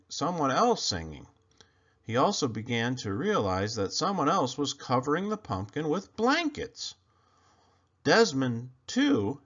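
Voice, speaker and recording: A man reading a children's storybook aloud in an expressive, emphatic storytelling manner. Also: medium pitch, highs cut off. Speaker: storybook reader.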